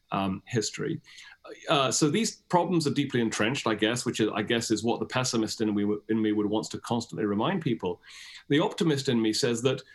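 A man with a British accent, speaking as an academic guest in an explanatory, discursive and emphatic interview style. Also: lowish voice.

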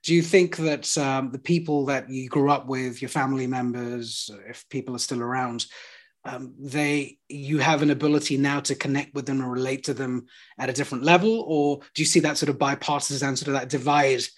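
A man's voice: mid-range voice, fairly even intonation, brisk. A man interviewing a guest, asking long, searching questions that wander before reaching the point. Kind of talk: conversational interview.

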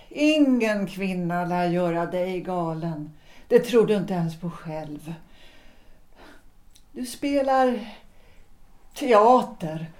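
Woman playing a firm cool wife in a period radio drama, medium pitch, deliberate, reproachful. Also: darkish tone, close to an everyday voice. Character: resolute, cool, disillusioned wife, former opera singer. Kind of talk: period stage-play audio drama acting.

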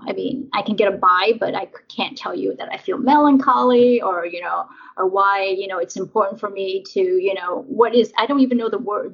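A woman telling her life story in an interview, halting and full of fillers as she reflects. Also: medium pitch, gravelly, husky. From Vietnam, raised in Nebraska. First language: English; Vietnamese basic. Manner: halting, many fillers and restarts. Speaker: interview guest. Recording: dull lo-fi audio.

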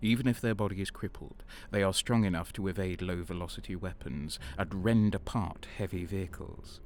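A man's voice: low voice, a little mellow, slight background noise, close to an everyday voice. A man playing a brooding renegade guardsman. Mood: cold explaining. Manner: brooding, deliberate. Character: sadistic male renegade guardsman, brooding narrator. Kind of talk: first-person audio drama narration. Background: music.

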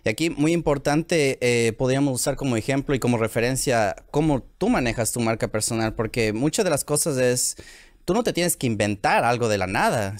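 A man's voice: mid-range voice, no room sound, studio quality. A man from Ecuador with an Ecuadorian accent co-hosting a business podcast in casual talk, often affirming the other speaker. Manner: affirming, frequent back-channel "ujú". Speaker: podcast co-host.

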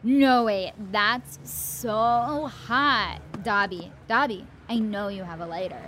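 A woman's voice: medium pitch, takes it slowly, a bit bright. A woman playing a brash, flirtatious, pushy street-interview host in an audio drama. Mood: teasing. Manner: pushy, teasing, drawling. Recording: clearly noisy street ambience.

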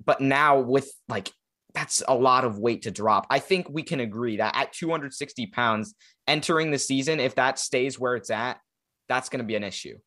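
A man's voice: mid-range voice, normal speed, bright, forceful tone. Casual young man hosting a podcast. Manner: rambling, filler-heavy, conversational. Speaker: podcast host. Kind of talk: casual fantasy sports podcast chat.

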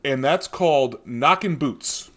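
Casting: casual guy co-hosting a beer show, chatty and meandering, medium pitch. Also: takes it slowly, fairly bright tone. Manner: rambling, tangential, with many fillers and restarts. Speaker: podcast co-host reviewing beer. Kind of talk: casual chat.

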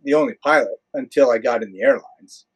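A man's voice: medium pitch, fairly quick, husky, rough recording. An older American man, an airline pilot being interviewed, explaining things in a conversational, matter-of-fact way. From United States.

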